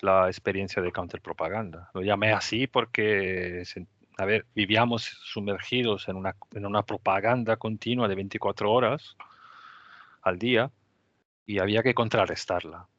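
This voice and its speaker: A man's voice: on the low side, a bit bright, dry recording, muffled recording. A man with an Italian accent, native Italian speaker, hosting a podcast and telling his story earnestly with frequent restarts. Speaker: podcast host. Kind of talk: casual podcast conversation.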